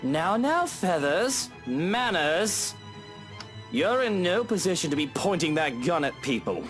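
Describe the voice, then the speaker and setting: A man's voice: high-pitched, very animated, measured pace, a bit bright, soft background music, pitched above the performer's own voice, heavily acted. A man doing a sneering posh rich guy. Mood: condescending. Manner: theatrical, mocking, haughty. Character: pompous sneering rich male homeowner.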